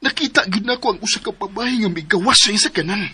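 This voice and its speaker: A man with a Cebuano accent voicing an emphatic young man in a radio drama, lovesick and possessive, with a husky voice. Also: sits high, fast, bright, forceful tone, exaggerated voice. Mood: intense. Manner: emphatic, swings between pleading and bluster.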